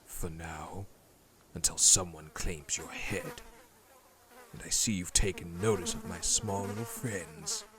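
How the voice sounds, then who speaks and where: A man's voice: low voice, steady tone, slow pace, a crowd in the background. A man playing a haughty, power-hungry prince in a dramatic audio roleplay, with a contemptuous, menacing and formal delivery. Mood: sneering. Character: cold, haughty, power-hungry prince who scorns weakness.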